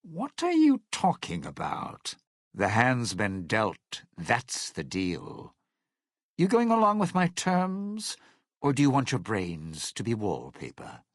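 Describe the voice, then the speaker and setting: Cynical lounge entertainer, video game survivor, smug menace, showbiz sarcasm, hugely varied intonation, close dry recording. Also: male voice, medium pitch, wildly swooping pitch, slow, dry recording, heavily acted. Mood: calculating, threatening. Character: slick, cynical male lounge singer turned scheming survivor. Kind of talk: theatrical video game character acting.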